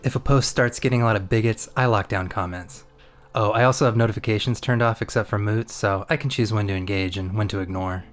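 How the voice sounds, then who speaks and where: A man's voice: on the low side, quick pace. A man reading an informal first-person testimony aloud as a guest contributor. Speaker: guest reader of a written community response.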